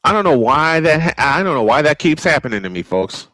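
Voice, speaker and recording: Male sportscaster, higher-pitched, bright forceful tone, old-school baseball commentary, folksy asides, clipped calls. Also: very animated, brisk, no room sound. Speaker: baseball play-by-play broadcaster.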